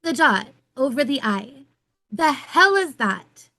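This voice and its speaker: A woman's voice: sits high, sing-song, slow pace, pushed and bright, no room sound, studio quality, full character voice. A woman reading the part of an anxious, incredulous man demanding explanations at a table read. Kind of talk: amateur script table read.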